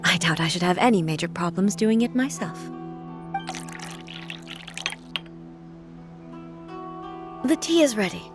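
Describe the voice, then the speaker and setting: A woman voicing a reserved, grieving divine female ruler in a video game cutscene, speaking formally and softly, often close to a whisper. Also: fairly low pitch, animated, over music, natural voice. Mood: gentle. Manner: measured, formal, soft-spoken, often near a whisper.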